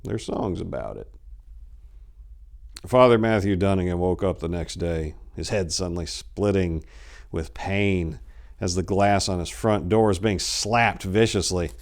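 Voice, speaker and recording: A man's voice: sits low, a little slow. A man in his forties giving a dramatic reading of a short story into a lapel mic. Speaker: story reader.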